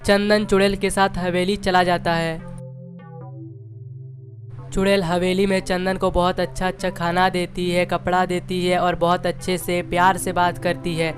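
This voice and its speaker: A woman's voice: lowish voice, level tone, unhurried. A woman reading a scripted children's story as its narrator. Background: music.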